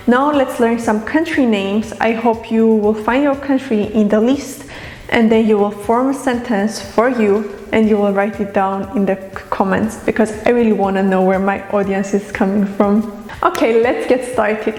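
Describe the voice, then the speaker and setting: A woman teaching an online language lesson, patient and clear, repeating phrases slowly. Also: a little high, a little mellow. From Armenia. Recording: echoey room with long reverb.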